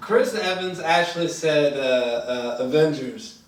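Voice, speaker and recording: A man's voice: on the high side, unhurried, far from the mic, background noise. A man in casual, unscripted chat as a film review co-host, searching for words and often trailing off. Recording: echoey room, away from mic.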